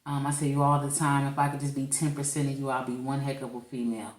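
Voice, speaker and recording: A woman co-hosts a podcast in playful, teasing conversation. Her voice sits very low for a woman. The recording has a roomy echo. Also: flat delivery, fast. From St. Louis, Missouri. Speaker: podcast co-host. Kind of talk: casual chat.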